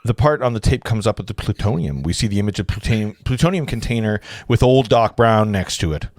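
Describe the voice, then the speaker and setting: A man's voice: fairly low pitch, animated, a little fast, no echo, clean studio sound. A man hosting an informal table read, narrating stage directions with chatty, conversational asides. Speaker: host reading stage directions.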